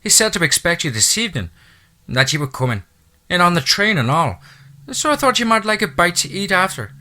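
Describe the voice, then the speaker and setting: A chatty middle-aged Irish housekeeper with a thick, lilting brogue, motherly and busy. Her voice sits well above a low male register and rings bright, as a man does her voice in a storybook reading. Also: big pitch swings, a little fast, exaggerated voice. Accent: Irish (put on).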